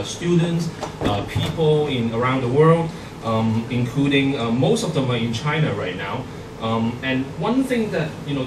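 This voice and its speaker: A middle-aged man from Hong Kong giving a workshop presentation, speaking haltingly with many fillers and restarts. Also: mid-range voice. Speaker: guest speaker. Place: conference hall. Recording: echoey hall, far from mic, noisy.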